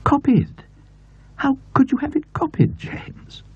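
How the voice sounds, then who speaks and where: Man putting on a gushing, high-pitched older aunt. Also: very animated, slow, mellow, no echo, highs cut off, exaggerated voice. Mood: astonished. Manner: effusive, emphatic. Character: gushing, imperious older aunt, an English gentlewoman. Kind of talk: audiobook character voice.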